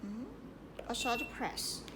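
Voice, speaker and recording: A woman giving a hands-on installation tutorial as a product presenter, speaking in halting, piecemeal phrases with repetition. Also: fairly high pitch, steady tone. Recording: echoey room with clear background noise.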